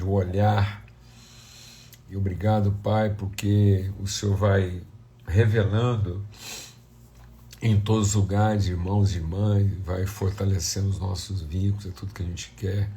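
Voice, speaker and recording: A Brazilian man leads an informal devotional as a pastor, talking to listeners like family. His voice is low and mellow, with plenty of gravelly creak. He sounds a little hoarse and stuffed up. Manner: conversational, addressing viewers as family and brothers. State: slightly hoarse and congested. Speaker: pastor hosting a devotional livestream. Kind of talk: informal devotional talk with prayer.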